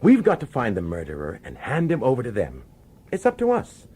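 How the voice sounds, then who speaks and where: A man's voice: medium pitch, wildly swooping pitch, a little fast, mellow, breathy edge, full character voice. An actor playing a middle-aged male police commissioner in a radio drama, nervous and insistent, pleading with urgency. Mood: agitated, urgent. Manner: urgent, hesitant, pleading authority.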